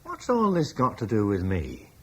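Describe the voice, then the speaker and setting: An actor playing a blunt, forceful military commander from Cornwall who scorns Rome, in a classic radio drama. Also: male voice, lowish voice, huge pitch swings, normal speed. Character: blunt British war leader, scornful of Rome.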